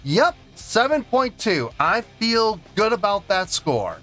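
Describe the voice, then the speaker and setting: A man's voice: high voice, big pitch swings, takes it slowly, bright, forceful tone. A man hosting a music reaction channel, giving a casual song review and often repeating himself for emphasis. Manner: repeats and restarts phrases for emphasis.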